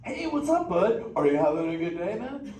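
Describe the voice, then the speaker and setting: A man's voice: high voice, very animated, normal speed, darkish tone. A man playing a laid-back, goofy surfer dude sea turtle, bantering in drawling slang at a live theme park show. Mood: friendly, playful. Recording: echoey theme park theater, distant, noisy.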